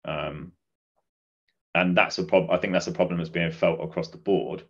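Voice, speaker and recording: A middle-aged man answering as a podcast guest, explaining things in a measured, conversational way. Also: deep voice, steady tone. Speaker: guest, recruitment business advisor. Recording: dull, muffled lo-fi audio.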